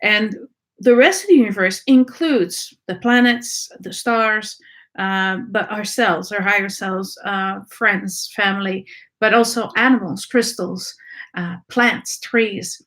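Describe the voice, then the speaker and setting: Lady teaching a class, lots of ums and restarts, middle pitched voice. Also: slow, fairly bright tone. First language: French. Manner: searching, many ums and restarts. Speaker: energy work teacher. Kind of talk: unscripted teaching talk.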